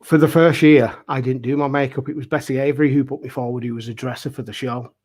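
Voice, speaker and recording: Chatty man, mid-pitched. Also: fairly even intonation, fast talker, noisy background. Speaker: pantomime dame performer, interview guest. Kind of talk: casual anecdotal chat.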